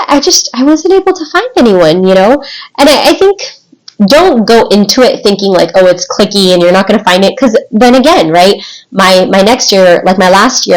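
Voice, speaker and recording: A woman in her twenties with an American accent, telling personal stories in an informal, animated, rambling way as a podcast guest. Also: mid-range voice, rough recording. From United States. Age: 20s. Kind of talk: casual podcast chat, personal storytelling.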